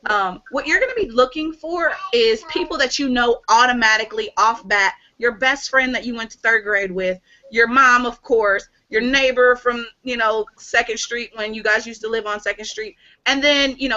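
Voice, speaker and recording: A woman leading a live sales training on a video call, energetic and chatty with many fillers. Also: on the high side, dull audio. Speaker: team leader hosting a sales training call.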